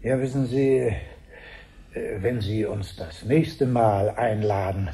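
A man's voice: fairly low pitch, gravelly, dark tone. A man playing a polite, hesitant, deferential husband paying a visit in a 1970s German radio play. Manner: deferential, halting. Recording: slightly hissy 1970s radio recording.

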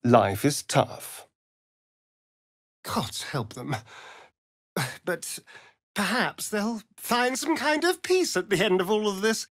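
A sardonic male vampire spawn for a fantasy video game. The delivery is arch and flirtatious, swooping widely in pitch and lingering slowly over words with mocking theatricality. The sound is close and dry. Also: big pitch swings, fairly bright tone, no echo, heavily acted. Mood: grave. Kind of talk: video game character voice lines.

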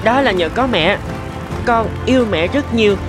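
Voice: mid-range voice, animated, moderate pace, music in the background, close to an everyday voice. An actor voicing a determined, grateful little boy in a cartoon, speaking with effort. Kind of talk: Vietnamese cartoon dubbing.

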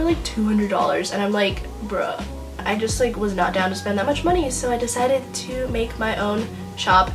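Teenage American girl, fairly high voice, casual slangy vlog chat, self-deprecating, light music behind. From Honolulu, Hawaii, United States. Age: teenager, about 16 to 17. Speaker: vlogger. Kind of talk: casual chatty Q&A vlog to camera. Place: small bedroom, indoors.

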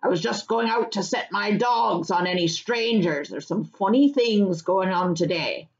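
Storybook hobbit farmer, played by a woman: loud, rustic, blunt and hearty, slightly dull recording. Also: mid-range voice, a little slow, echoey room, close to an everyday voice. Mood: surprised, hearty. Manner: rustic, colloquial, blunt turns of phrase. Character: broad red-faced male hobbit farmer, gruff but hearty.

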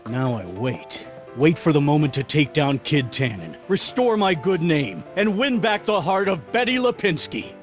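A man's voice: fairly high pitch, very animated, moderate pace, soft background music. An actor playing a downtrodden but earnest young American policeman in a video game, given to self-pity. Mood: rueful resolve.

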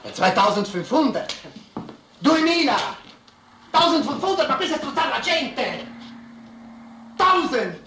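Animated, grumbling Italian man. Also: extremely high pitch, unhurried, long pauses, reverberant, slight background noise, exaggerated voice. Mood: wary haggling. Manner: broken German peppered with Italian. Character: grumbling, homesick Italian man selling roadside fuel, haggling.

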